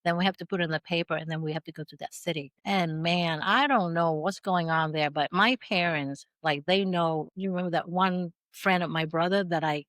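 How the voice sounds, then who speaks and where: A middle-aged woman with a Vietnamese accent, her voice sitting a little low for a woman. She talks as an interview guest, telling stories with animation and laughter. The recording is close and dry. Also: normal speed, few pauses, no room sound. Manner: animated storyteller, laughs through anecdotes. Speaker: podcast guest. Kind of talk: casual interview chat.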